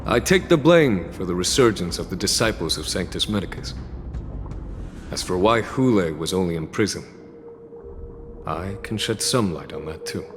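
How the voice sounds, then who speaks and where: Deep, grave veteran general. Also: male voice, lively intonation. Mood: calm, conciliatory. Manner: measured, formal, polite. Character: veteran male general, long-lived, calm, grave and courteous. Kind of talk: scripted game dialogue, solemn recounting. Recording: long reverb, game music underneath.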